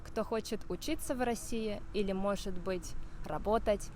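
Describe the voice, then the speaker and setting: A woman hosting an interview in a clear, teacherly way, repeating and rephrasing her guest's answers. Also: medium pitch, moderate pace, a little mellow, a little hiss or hum. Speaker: host. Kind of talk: casual interview.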